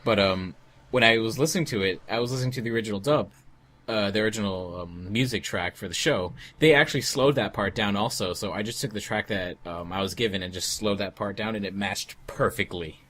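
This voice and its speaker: A man's voice: lowish voice, fairly quick, a bit bright. A man giving casual group commentary as a member of a fandub crew.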